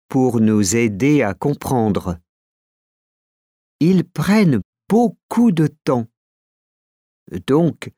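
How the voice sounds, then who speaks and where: A man reads a language-course script very slowly, carefully and clearly articulated. His pitch rises and falls in a lively way, and the recording is close and dry. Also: no room sound. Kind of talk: slow scripted reading for learners.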